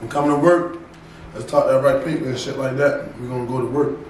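A man's voice: mid-range voice, steady tone, a little mellow, a little hiss or hum. Mumbling young man, roomy and distant. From Baltimore. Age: young adult. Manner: mumbled, halting street slang. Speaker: rapper. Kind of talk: casual interview.

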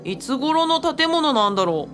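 A woman's voice: sits high, sing-song, moderate pace, a bit bright. A woman acting a put-upon young man in a radio drama, boyish and grumbling but good-natured. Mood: questioning. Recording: faint music behind the voice.

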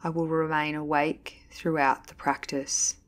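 Female meditation instructor, low register, level intonation, calm scripted delivery with deliberate pauses. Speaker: yoga nidra instructor. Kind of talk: guided meditation, scripted narration.

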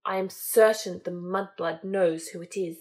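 A woman voicing a cold, menacing male dark lord who speaks slowly and with threat, in an audiobook reading. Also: lowish voice, fairly even intonation, natural voice. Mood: suspicious.

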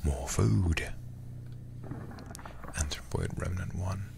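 A man streaming a game as ASMR, reading aloud and chatting softly in an intimate, soothing, breathy way, close to the microphone. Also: low-pitched, takes it slowly, noisy background. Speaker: livestreamer playing horror games.

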